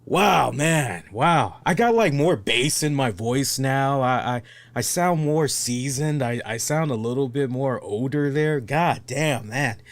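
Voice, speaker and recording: A man's voice: mid-range voice, lively intonation, slow pace. Rambling man hosting a wrestling show. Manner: conversational, rambling, with everyday hypotheticals. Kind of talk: live-streamed casual commentary.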